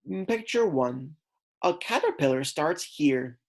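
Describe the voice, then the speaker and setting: A woman's voice: low voice, lively intonation, unhurried. A woman kindergarten teacher reading aloud a lesson for young children, explaining words simply and prompting her pupils.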